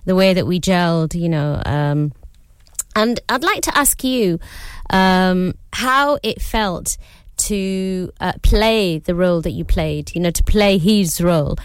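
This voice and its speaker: Slightly hoarse middle-aged radio presenter woman. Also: on the low side, slow pace, no room sound. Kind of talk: casual radio interview.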